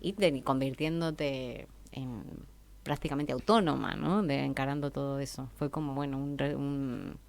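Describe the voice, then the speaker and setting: A woman's voice: extremely low pitch, unhurried, vocal fry, darkish tone. A woman in her forties from Spain with a Spanish accent, a guest author talking in a radio interview, reflective and hesitant with many fillers.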